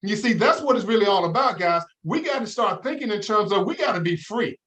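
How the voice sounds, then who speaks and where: A man's voice: high-pitched, expressive, brisk, fairly bright tone, slight background noise. A middle-aged man, a financial advisor guest in an interview, talking in an emphatic, preacher-like way with many rhetorical questions. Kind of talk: interview, motivational talk.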